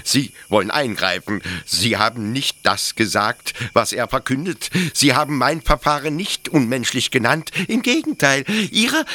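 An actor playing a fanatical, pleading officer in a German radio play, speaking in an impassioned, halting and insistent way. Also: male voice, a little high, sharp tone, exaggerated voice. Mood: agitated, despairing. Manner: impassioned, halting, insistent, mimicking others in mockery. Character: fanatical, pleading male officer devoted to an execution machine.

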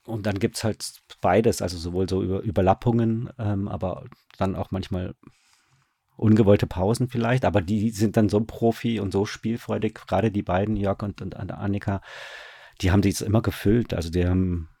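Male interview guest, low and husky, dry close sound. Informal, explanatory, repeats words while thinking. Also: fairly even intonation, moderate pace, warm tone, no room sound. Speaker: radio drama director, interview guest. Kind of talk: casual interview talk, explaining his work.

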